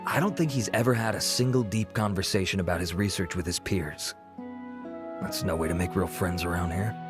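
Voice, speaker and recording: Ein tratschender Mitarbeiter einer Forschungsanstalt, gesprochen von einem Mann, mit eher tiefer Stimme. Er urteilt gern über andere, und im Hintergrund spielt deutlich Musik. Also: male voice, low voice, fairly quick, music in the background, natural voice. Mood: gossipy. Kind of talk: video game voice acting.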